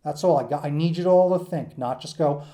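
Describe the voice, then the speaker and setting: A man's voice: fairly high pitch, fast talker, warm tone. A man giving an informal lecture to students in an enthusiastic, emphatic, rambling conversational way, as their teacher.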